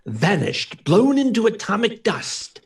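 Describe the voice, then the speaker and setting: A man acting earnest inventor doctor, highish pitch, very animated intonation, formal, theatrical, vintage radio drama style. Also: unhurried, creaky, heavily acted. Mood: proud explaining. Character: earnest, principled male scientist-inventor, proud and secretive.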